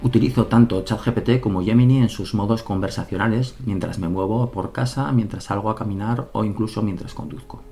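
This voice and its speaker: Low-voiced Spanish man narrating a devlog. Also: a little fast, vocal fry, hardly any pauses, warm tone. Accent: Spain Spanish. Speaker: solo game developer. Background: background music.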